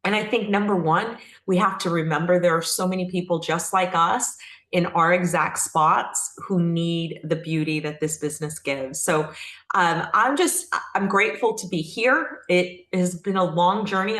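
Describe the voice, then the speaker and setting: A woman's voice: fairly low pitch, fairly bright tone. A middle-aged woman from Texas talking effusively and earnestly as an interview guest, telling stories in a casual conversational style. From Texas, United States. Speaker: interview guest, company founder. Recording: echoey room sound.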